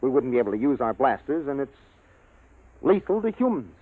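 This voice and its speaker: A man's voice: medium pitch, sing-song, brisk, no room sound. An actor playing an analytical male science officer in a 1950s radio drama, explaining things matter-of-factly. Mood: grave, explanatory. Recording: dry, tinny vintage radio recording.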